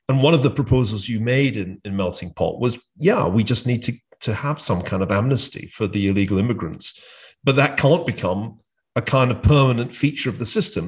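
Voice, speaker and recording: A British man hosting a discussion, speaking in a measured way with frequent hesitations and restarts. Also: mid-range voice. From United Kingdom. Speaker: podcast host. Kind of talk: conversational discussion.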